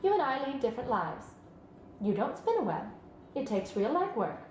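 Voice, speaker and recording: Woman playing a storybook spider, female, clever, patient, precise, assured, raised lively pitch, roomy recording. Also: normal speed, slight background noise. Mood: coaching. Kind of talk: children's storybook read-aloud. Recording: roomy sound, music behind.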